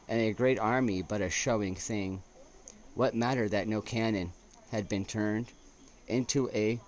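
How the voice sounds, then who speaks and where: A man reading poetry aloud as an amateur, in a halting way with stumbles and restarts. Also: fairly low pitch. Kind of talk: poetry recitation with casual asides. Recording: noticeable background noise.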